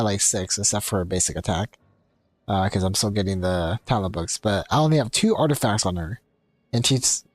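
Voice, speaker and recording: A man's voice: mid-range voice, darkish tone, no echo. A young man commenting casually on his gameplay as a streamer, chatty and rambling. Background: faint game music.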